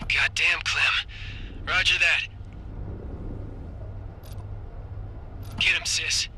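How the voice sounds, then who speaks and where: An actor playing a hotheaded, loyal younger brother in a video game, impassioned, heard over a radio. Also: male voice, a little high, fairly even intonation, a little slow, sharp tone, slight background noise. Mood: resigned, supportive. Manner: impassioned, insistent. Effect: radio transmission. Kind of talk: video game cutscene acting.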